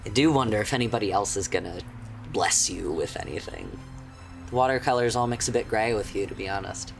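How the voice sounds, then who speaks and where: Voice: medium pitch, lively intonation, brisk, vocal fry, soft background music. An actor playing a smug, taunting old friend who has turned to a destructive power, speaking casually and with amusement in a horror drama. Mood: smug, musing. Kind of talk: conversational horror audio drama acting.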